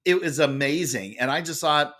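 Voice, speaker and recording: A middle-aged man with a loud voice, an author and speaker telling a story as a podcast guest, animated and expressive. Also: moderate pace. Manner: expressive, acts out quoted lines with big jumps in pitch.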